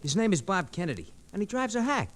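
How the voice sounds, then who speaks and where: Casting: pushy, slangy taxi driver pal, high voice, very animated, old radio show sound. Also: male voice, fast. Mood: earnest, urging. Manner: brash, slangy, blustering. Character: excitable, pushy, loyal male cab driver sidekick. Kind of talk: 1940s radio drama acting. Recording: slightly noisy vintage radio recording.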